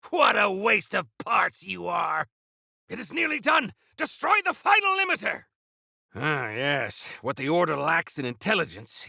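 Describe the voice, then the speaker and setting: An actor playing a defiant, feisty old exiled warrior-engineer in a video game, gravelly and theatrical, with grandiose, archaic turns of phrase. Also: male voice, high voice, bright, forceful tone, dry recording, studio quality, heavily acted. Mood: fierce, battle-hungry, then wry, brisk. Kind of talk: video game character acting.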